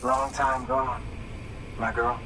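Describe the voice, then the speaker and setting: Actor playing a gruff, bitter junk dealer, distant and noisy. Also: male voice, mid-range voice, little pitch movement, slow, close to an everyday voice. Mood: bitter grief. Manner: gruff, cursing, dismissive. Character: bitter, grieving, hostile male junk dealer. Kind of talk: video game cutscene acting.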